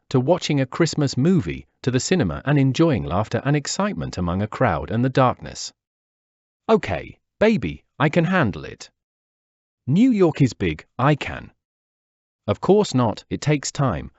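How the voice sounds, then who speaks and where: A man's voice: mid-range voice, darkish tone, no room sound. Wistful man in his forties. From Peru. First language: Spanish. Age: 40s. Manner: wistful, reflective. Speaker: narrator. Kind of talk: first-person memoir narration. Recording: dull dry lo-fi recording.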